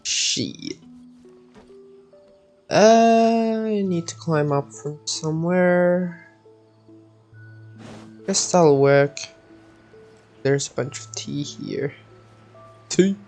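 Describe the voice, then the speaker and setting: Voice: medium pitch, drawn-out words, pauses often, darkish tone, faint music behind. A video game streamer giving casual gameplay commentary, thinking aloud and muttering with the odd swear word.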